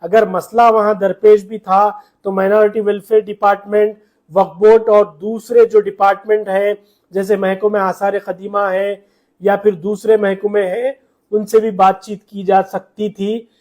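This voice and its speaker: High-voiced man, flat news narration. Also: unhurried. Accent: Hyderabadi Urdu. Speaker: news reporter.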